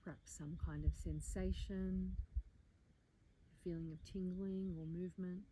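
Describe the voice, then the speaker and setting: A woman leading a guided meditation in a calm, gentle, inviting manner, with measured phrases and pauses. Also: lowish voice, slight background noise, low-quality recording. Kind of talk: guided meditation, soft slow instruction.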